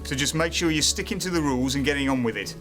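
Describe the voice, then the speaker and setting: An actor playing a busy, harried maintenance supervisor, brisk and managerial, in a naturalistic training film drama. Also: male voice, mid-range voice, fairly bright tone, soft background music, close to an everyday voice. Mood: brisk, instructing.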